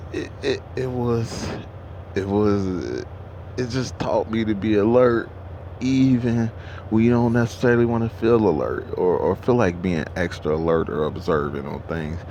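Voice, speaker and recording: A man's voice: mid-range voice, gravelly, darkish tone, slight background noise. A truck driver in his thirties talking casually to camera at a truck stop, rambling and profane, with many restarts. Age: 30s.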